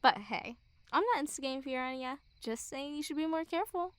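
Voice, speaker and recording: A woman's voice: high-pitched, a little fast, a bit bright, dry recording. A young woman playing a smug, mocking school bully girl who teases with a hint of care, in an intimate ASMR roleplay. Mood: teasing. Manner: mocking, teasing, giggly. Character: teasing, smug school bully girl, secretly a little caring. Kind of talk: ASMR girlfriend roleplay, intimate one-sided dialogue.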